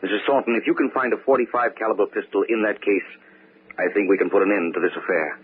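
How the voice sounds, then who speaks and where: Actor as low-voiced stage mentalist, vintage radio. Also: male voice, fairly even intonation, fast talker, lightly acted. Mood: serious, decisive. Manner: probing, authoritative. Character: serious, decisive male nightclub mentalist turned investigator. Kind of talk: 1940s radio mystery acting. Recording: faintly noisy, narrow old radio broadcast.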